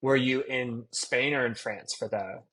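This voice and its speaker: A man hosting an interview podcast, asking questions and reacting with quick enthusiasm. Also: mid-range voice, fairly even intonation, a bit bright. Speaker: podcast host.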